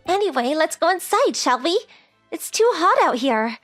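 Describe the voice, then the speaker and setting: A woman's voice: very high voice, very animated, normal speed, fairly bright tone, soft background music, heavily acted. A woman voicing a sweet, polite rich teenage girl who is coy and forward, in a romantic comedy comic dub. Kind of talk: anime-style comic dub dialogue.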